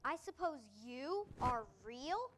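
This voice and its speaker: A woman's voice: sits high, expressive, takes it slowly, a bit bright, a little hiss or hum, exaggerated voice. A woman playing a timid, curious young toy rabbit in a storybook reading, asking in a wondering way. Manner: wondering, questioning. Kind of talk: reader's theater storybook reading.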